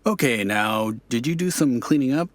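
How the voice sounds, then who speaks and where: A man's voice: medium pitch, lively intonation, normal speed, dry recording, lightly acted. A male actor as a probing, businesslike police officer. His voice sits in the middle range, played naturalistically for audio drama and recorded dry.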